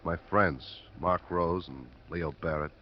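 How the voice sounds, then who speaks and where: An American actor playing an evasive, jittery bartender mixed up in crime in a 1950s radio drama. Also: male voice, low-pitched, slow. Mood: defeated. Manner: defensive, evasive. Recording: muffled, hissy old radio recording.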